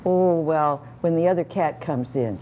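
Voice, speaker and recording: A woman's voice: low-pitched, unhurried, mellow, slight background noise, poor audio. A woman voicing an easygoing female house cat puppet, talking in a folksy, hesitant way with restarts.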